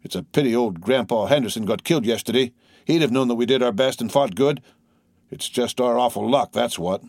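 Man acting as youthful soldier, rustic wording, philosophical, quick to exasperation, storybook dialogue. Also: medium pitch, a little mellow, no room sound, studio quality, pitched above the performer's own voice, lightly acted. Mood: tranquil, soothing. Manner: plain rustic dialect.

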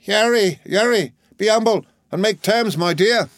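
Frantic elderly mother played by a male narrator, very high pitch, pleading and anxious, novel character. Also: unhurried, dry recording, studio quality. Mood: agitated pleading. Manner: crying out anxiously.

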